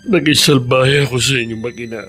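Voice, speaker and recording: A man playing a remorseful, injured husband in a Filipino radio drama, halting and emotional. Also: medium pitch, steady tone, a bit bright, faint music behind, lightly acted. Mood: tearful remorse. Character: remorseful, injured husband and father, once cruel.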